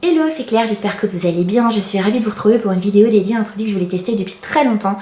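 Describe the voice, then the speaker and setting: A woman's voice: medium pitch, racing, mellow. A woman in her thirties giving a casual, chatty product review as a beauty vlogger, confiding and self-deprecating. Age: 30s. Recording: roomy, echoey indoor sound.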